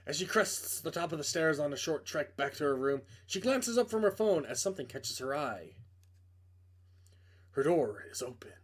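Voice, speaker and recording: Male reader, slightly high register, dramatic narration of a visual novel, a little prone to stumbles. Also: expressive, normal speed. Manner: dramatic storytelling delivery, stumbles over words.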